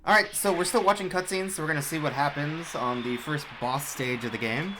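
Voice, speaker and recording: A man commentating his own video game speedrun in a relaxed, explanatory way, with sudden excited outbursts. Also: fairly high pitch, a little fast, noisy background. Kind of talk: casual live-stream commentary. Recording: game music playing behind.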